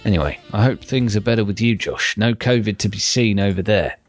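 A man co-hosting a podcast in casual chat, husky from illness, chatty and wry. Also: fairly low pitch, a little fast, no room sound, soft background music. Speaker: podcast co-host.

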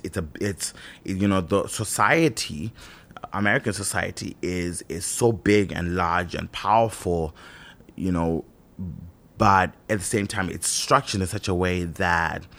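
A man who grew up in Zimbabwe, talking thoughtfully in a casual monologue, pausing and restarting often with "you know". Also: deep voice, fairly bright tone.

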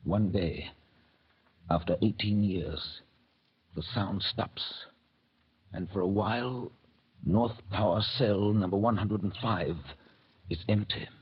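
An actor playing a composed, grave banker, narrating with measured authority in old radio drama style. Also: male voice, lowish voice, breathy edge, exaggerated voice. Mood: solemn. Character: English banker recounting history, composed and grave. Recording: dull vintage radio recording, music behind.

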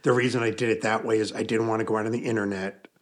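Low husky-voiced man telling stories. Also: little pitch movement, rapid-fire. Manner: rambling storyteller with self-corrections. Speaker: podcast guest, author. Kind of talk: casual podcast interview.

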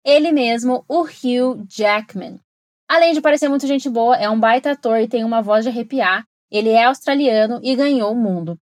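A woman with a Brazilian Portuguese accent and a fairly high voice, recorded dry and close. She explains with enthusiasm, tossing questions to the listener as she goes. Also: moderate pace, no echo. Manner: enthusiastic, explanatory, warm asides. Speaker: podcast host and English teacher. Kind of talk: scripted educational podcast narration.

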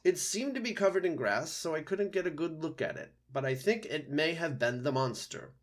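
Guy doing a screechy storybook owl, high and swoopy, agitated, announcing stuff like it's important. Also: expressive, moderate pace. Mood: anxious, announcing. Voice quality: screechy, hooting. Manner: declaiming, agitated. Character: alarmed, self-important owl addressing woodland animals.

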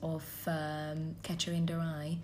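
Chatty young Hungarian woman, low voice. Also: monotone, a little slow, slight background noise. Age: 20s. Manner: giggly, chatty, lots of "like". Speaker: podcast co-host. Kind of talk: casual chat.

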